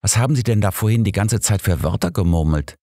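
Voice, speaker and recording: A man's voice: on the low side, fast talker, mellow, dry recording, clean studio sound. A man voicing an exasperated, sarcastic older doctor in an audiobook, grumbling drily. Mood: wry.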